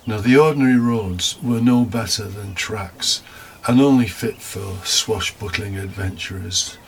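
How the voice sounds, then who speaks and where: A man's voice: on the low side, measured pace, creaky, low-quality recording. A man preaching a homily as a deacon, reflective, partly scripted with informal asides and rhetorical questions. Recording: faint background noise.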